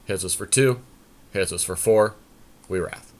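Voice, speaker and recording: Low-pitched man, casual game commentary. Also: a little slow. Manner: informal, thinking aloud. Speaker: podcast co-host and card game player.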